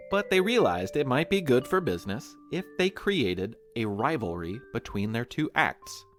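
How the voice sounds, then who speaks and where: Playful American male quizmaster, animated mid-range voice, direct to listeners, music underneath. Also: mid-range voice. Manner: playful, talking straight to listeners. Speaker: podcast host and quizmaster. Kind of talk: playful scripted quiz narration.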